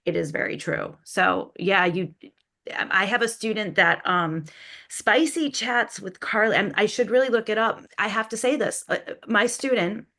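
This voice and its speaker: A woman's voice: mid-range voice, normal speed, creaky, fairly bright tone. A woman speaking casually as a panel guest, rambling and often interrupting herself. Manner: rambling, self-interrupting, conversational. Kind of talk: casual chat.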